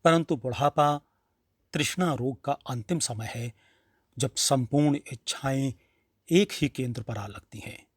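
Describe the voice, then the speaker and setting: A man narrating a literary story in a measured, expressive, dramatic reading style. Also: mid-range voice, husky, dry recording, clean studio sound.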